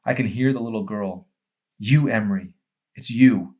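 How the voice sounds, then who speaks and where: A man voicing a tormented, withdrawn young man in an audiobook, halting and anguished with guilt. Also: on the low side, a little mellow, voice pushed up, close to an everyday voice.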